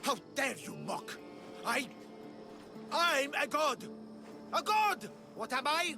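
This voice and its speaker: Deluded prophet who thinks himself a god, theatrical declaiming, swooping animated pitch, game cutscene, music clearly behind. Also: male voice, slow pace, exaggerated voice. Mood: fervent, indignant. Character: grandiose, deluded self-proclaimed male god and prophet. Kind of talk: video game cutscene acting.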